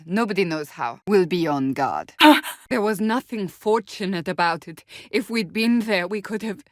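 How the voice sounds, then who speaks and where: An actress playing a stern, blunt, devout warrior woman in a fantasy video game, speaking formally and clipped with a deadpan edge. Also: lowish voice, dry recording, studio quality. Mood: stern, dry, then fierce, exerted.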